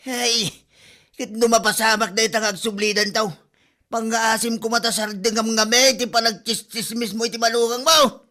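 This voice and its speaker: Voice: medium pitch, fairly even intonation, normal speed, pushed and bright, close to an everyday voice. A relative lecturing the mother-in-law and blaming her for the trouble in an amateur comedy audio drama. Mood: scolding.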